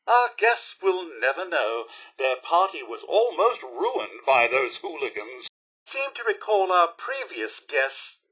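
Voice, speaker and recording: An actor playing a fussy, haughty robot butler in a video game, with a British accent and pompous, theatrically polite delivery. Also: male voice, high-pitched, sharp tone, breathy edge, full character voice. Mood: relieved. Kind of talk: video game character voice lines.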